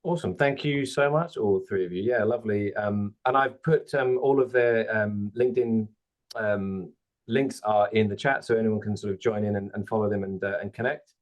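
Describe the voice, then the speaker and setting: A man's voice: on the low side, normal speed, highs cut off. A man hosting an online panel as a recruiter, chatty with many fillers, on a video call. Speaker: host, recruiter.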